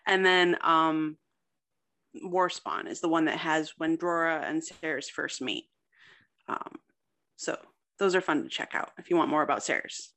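A woman's voice: low voice, unhurried, pauses often, a bit bright. A young woman chatting casually as a guest writer in an interview, with a self-deprecating, talkative manner. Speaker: guest, fiction writer and contest winner.

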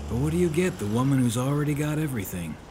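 An actor playing a tough, laconic but kindly 1930s detective in an audio drama, plainspoken, dry and slangy. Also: male voice, medium pitch, animated, quick pace, background noise, close to an everyday voice. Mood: gruff, kind. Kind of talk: hardboiled audio drama acting and narration.

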